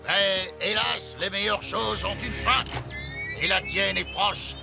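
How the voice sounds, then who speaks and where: An actor playing a bullying, sly villain's lackey in an animated series, taunting and theatrical, with a creaky voice. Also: male voice, high-pitched, takes it slowly, heavily acted. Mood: gloating. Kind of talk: French animated series dubbing. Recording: music behind the voice.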